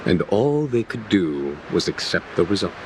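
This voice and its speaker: An actor playing a calm, genial general in a video game, speaking in measured, eloquent and formal words. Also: male voice, sits low, noisy background. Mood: measured, shrewd. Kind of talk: video game cutscene acting. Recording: music behind the voice.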